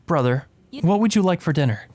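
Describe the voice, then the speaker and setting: A man's voice: on the high side, lively intonation, fairly quick, a little mellow, pitched above the performer's own voice, natural voice. A man voicing a worried younger sister in a visual novel, earnest and admonishing, pleading with her brother. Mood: concerned.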